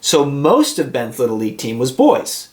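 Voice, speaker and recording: A man's voice: medium pitch, huge pitch swings, normal speed, a little mellow. A man voicing a reassuring, fair-minded father in a storybook, reasoning things through with questions. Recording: roomy, echoing sound.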